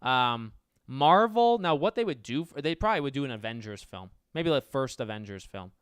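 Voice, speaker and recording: A man with a raspy but clear, resonant voice hosts a loose livestream Q&A. He thinks out loud, corrects himself mid-sentence, and answers viewers one after another. The sound is dry and close, with no room around it. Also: mid-range voice, big pitch swings, moderate pace, dry recording. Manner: rambling, self-correcting, answers chat questions aloud. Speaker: livestream host reading viewer questions. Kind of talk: casual livestream Q&A chat.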